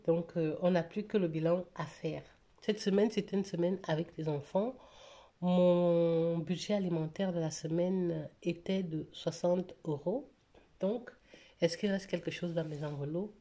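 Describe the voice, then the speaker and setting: A woman chats through her weekly budget in a mellow, somewhat low voice. She talks casually, hesitating often with fillers and restarts, and sounds a little stuffy from a cold. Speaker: budget vlogger. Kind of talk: casual budget vlog to camera.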